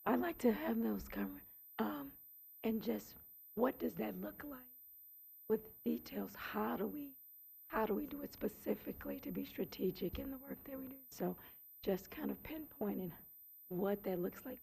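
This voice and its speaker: A hoarse woman on a city commission, speaking in a lively way that keeps slipping into a whisper at a public meeting. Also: medium pitch, moderate pace, slight background noise. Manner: slips into whispers, lively delivery. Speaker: commission member. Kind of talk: meeting discussion.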